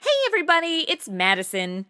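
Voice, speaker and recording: A woman's voice: sits high, sing-song, brisk, very noisy. A young woman playing a chatty, ditzy modern influencer who hosts and banters in a comedy audio drama. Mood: breezy, amused. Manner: breezy, casual valley-girl slang. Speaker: host.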